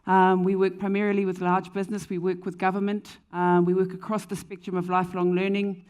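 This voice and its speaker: A woman's voice: on the low side, monotone, a little fast, a little mellow, clean tone. A woman with a New Zealand accent, speaking as a panelist on a conference stage, fluent with frequent "um" fillers. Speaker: panelist, company founder. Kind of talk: panel discussion answer. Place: conference stage before an audience.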